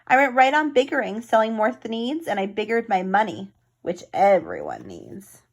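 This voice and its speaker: A woman's voice: fairly high pitch, big pitch swings, moderate pace. Woman as a blustering, greedy storybook businessman. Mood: boastful, self-justifying. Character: defiant, greedy male industrialist, blustering and self-justifying.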